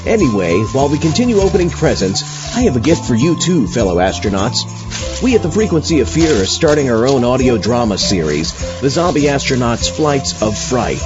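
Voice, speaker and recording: A man's voice: mid-range voice, big pitch swings, moderate pace. A man hosting a podcast, introducing segments to his listeners. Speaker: podcast host. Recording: muffled lo-fi, music underneath. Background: music.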